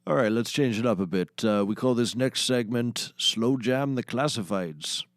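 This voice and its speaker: A man playing a deadpan, self-important auctioneer who hosts a small comedy talk show. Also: medium pitch, moderate pace, natural voice. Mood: showman-like. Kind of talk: scripted comedy acting.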